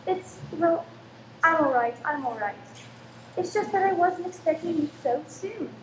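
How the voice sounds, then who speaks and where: Woman acting nervous, high-voiced mother. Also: lively intonation, measured pace. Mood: flustered. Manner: hesitant, nervous, appeasing. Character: anxious, flustered, protective mother and landlady. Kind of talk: radio play acting. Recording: echoey room with faint noise.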